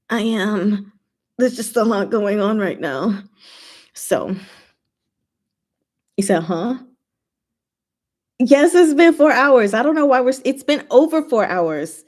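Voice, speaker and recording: A woman hosting a livestream, chatting casually and playfully with her viewers in an animated, slangy way. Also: a little high. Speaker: livestream host and book reader.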